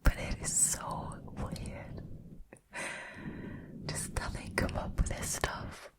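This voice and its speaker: Whispering woman, slow and breathy ASMR. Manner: informal, chatty, amused. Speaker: host reading and reacting to short stories. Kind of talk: whispered ASMR storytelling with casual chat.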